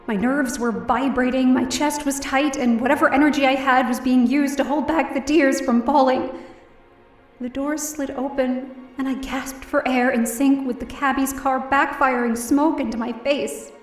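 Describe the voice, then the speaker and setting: A woman's voice: high-pitched, steady tone, normal speed, echoey room, far from the mic, faint music behind, natural voice. A woman playing a down-on-her-luck, distressed young woman narrating her own story in an audio drama. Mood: numb, anguished. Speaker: lead actor in audio drama. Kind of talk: first-person dramatic narration.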